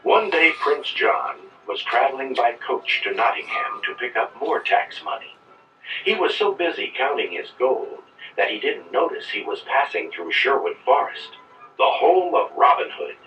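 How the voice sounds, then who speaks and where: Animated male storybook narrator, echoey old record. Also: mid-range voice, moderate pace, faint music behind. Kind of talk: scripted children's read-along narration. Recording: dull, echoey old record, faint noise, distant.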